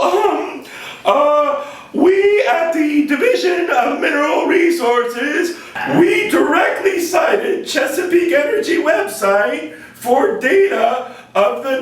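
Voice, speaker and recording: Very high-pitched, smug puppet bureaucrat. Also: male voice, a bit bright, full character voice. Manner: giggly, drawn-out, flippant delivery. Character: gleefully dismissive puppet bureaucrat, smug man of state agency. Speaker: agency spokesman in satirical skit. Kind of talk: exaggerated satirical puppet-show acting. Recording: very echoey room, far from microphone.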